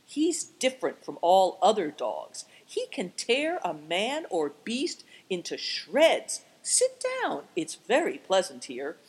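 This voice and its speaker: A woman voicing a sly, unruffled man in an audiobook story, gently evasive and teasingly polite. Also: medium pitch, wildly swooping pitch, slow pace, hardly any pauses, slightly rough, exaggerated voice. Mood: cheerfully teasing. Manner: gentle, evasive, mock-inviting. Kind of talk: audiobook character voice.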